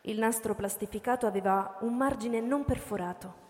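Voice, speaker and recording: A woman's voice: a little high, moderate pace, a little mellow. A woman narrating a scripted story dramatically, as the narrator of a radio drama. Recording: reverberant hall with music behind. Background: music.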